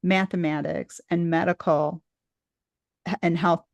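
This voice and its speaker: Host, middle-aged woman, gravelly low edge to the voice, dry close sound, musing and digressive. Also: fairly even intonation, measured pace, no room sound. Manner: thoughtful and rambling, many restarts. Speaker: podcast host interviewing a guest. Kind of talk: casual conversational interview.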